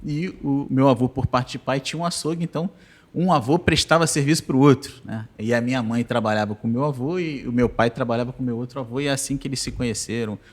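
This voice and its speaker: A man in his forties with a Rio de Janeiro accent, a podcast guest telling his story in a calm, conversational way. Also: mid-range voice, fast talker. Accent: Rio de Janeiro Portuguese. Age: 40s. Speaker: guest, business association president.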